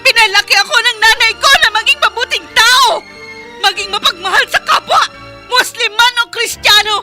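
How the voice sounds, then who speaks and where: A woman's voice: extremely high pitch, normal speed, over music. An actress playing an impassioned young woman defending her faith in a radio drama, with an intense, pleading delivery.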